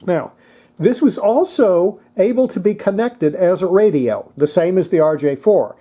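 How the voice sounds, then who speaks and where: A presenter giving a casual hands-on technical demonstration, thinking aloud in a halting way. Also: mid-range voice, animated, warm tone. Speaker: presenter demonstrating antique radios.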